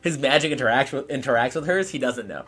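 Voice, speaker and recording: A man's voice: medium pitch, fast talker, a bit bright, husky, faint music behind. A man playing a tabletop game, chatting casually in a slangy, joking way.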